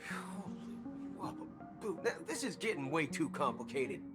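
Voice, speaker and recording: A man playing an excitable, folksy companion in a game, quirky and expressive. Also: a little high, normal speed. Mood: flustered surprise. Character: excitable, folksy, goofy male companion, easily flustered. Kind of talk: video game story dialogue. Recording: prominent game music behind.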